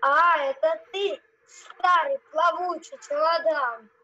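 A child acting a gruff, greedy, bullying puppet-theatre master in an amateur audio play. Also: high voice, fairly even intonation, slow, bright, forceful tone, heavily acted. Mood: scornful, greedy. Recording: dull, noisy home recording.

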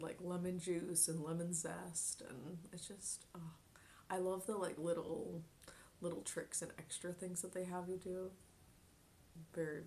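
A young woman in her twenties chatting casually on a live stream from her bedroom, reading chat aloud, giggling often and dropping into soft near-whispers. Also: lowish voice, gravelly, slight background noise. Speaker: Twitch streamer. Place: small bedroom at home.

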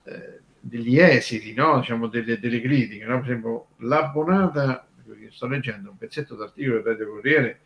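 A man hosting a casual talk on radio history, speaking in a halting, digressive way and often rephrasing himself. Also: mid-range voice, a bit bright. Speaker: radio show host.

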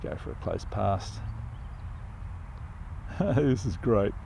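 An older man flying a model plane in an open field outdoors, giving relaxed, enthusiastic commentary as he flies. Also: fairly low pitch, takes it slowly, vocal fry, a little hiss or hum. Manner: relaxed, enthusiastic, thinking aloud while flying. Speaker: RC pilot reviewing a plane. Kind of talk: casual live flight commentary.

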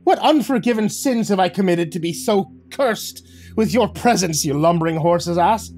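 A tiny red-faced leprechaun screeches insults in a shrill, high-pitched voice. He is forever in a foul temper and his curses leap up and down, over a husky grain. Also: animated, normal speed, soft background music. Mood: furious. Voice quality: shrill, shouting, cursing. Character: furious foul-mouthed male leprechaun, tiny.

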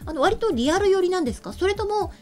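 A woman's voice: very high-pitched, expressive, fast talker, dark tone, faint music behind. A young woman presenting a web show in a polite, orderly way, moving between scripted announcements and light chat. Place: small indoor streaming studio. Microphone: desk microphone.

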